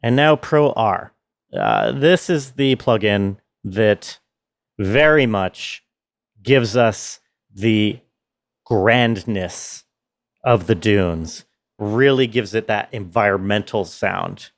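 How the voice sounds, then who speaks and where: A man's voice: on the low side, expressive, slow pace, vocal fry, no echo. A man presenting his sound design work in a casual, explanatory tutorial style.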